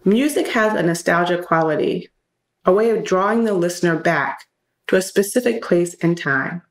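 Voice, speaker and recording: Woman host, slightly low voice. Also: animated, moderate pace. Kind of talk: scripted discussion hosting.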